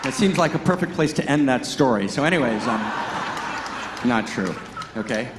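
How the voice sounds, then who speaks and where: A man's voice: medium pitch, animated, moderate pace, crowd noise, background noise. Chatty man preaching in an echoey hall. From Pittsfield, Massachusetts, US. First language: Hebrew. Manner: conversational, peppered with "you know" and "right?", building to emphatic passages. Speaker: guest teacher at a Sunday service. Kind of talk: sermon told as humorous personal story. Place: large church hall. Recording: echoey church hall with faint ambience.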